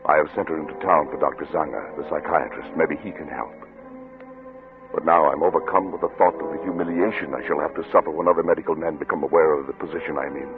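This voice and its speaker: Deep, grave doctor. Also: male voice, fairly even intonation. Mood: anguished, brooding. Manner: grave, deliberate, formal diction. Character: troubled middle-aged male doctor and father, obsessive and grave. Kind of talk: 1940s radio drama acting with first-person narration. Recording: muffled old radio recording with music.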